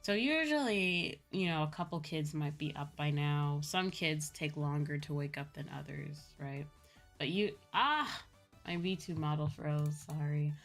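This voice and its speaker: A woman with a Midwestern American accent telling stories casually as a livestream host, in an animated, chatty manner. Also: deep voice, unhurried. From Midwestern United States. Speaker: streamer and VTuber host. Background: background music.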